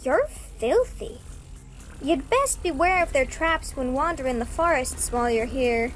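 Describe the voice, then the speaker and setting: Woman voicing a high, piping little fairy. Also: animated, a little slow, slight background noise. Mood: pert, concerned. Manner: pert, piping delivery. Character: small, forthright young female fairy. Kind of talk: fantasy audio story character acting.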